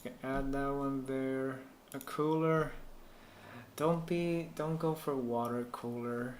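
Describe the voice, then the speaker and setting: A man's voice: medium pitch, steady tone, takes it slowly. A man from Puerto Rico whose native language is Spanish, chatting casually as a live stream host and thinking aloud in a rambling way. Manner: rambling, thinking aloud while reading chat. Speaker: live stream host giving PC troubleshooting advice. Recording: echoey room with faint noise.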